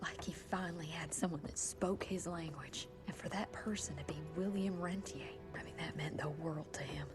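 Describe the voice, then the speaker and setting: A woman plays a regret-laden, earnest scientist in a video game cinematic. Her voice is on the low side and subdued, confessional in delivery, with clear music behind it. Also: moderate pace, few pauses, close to an everyday voice. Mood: regretful.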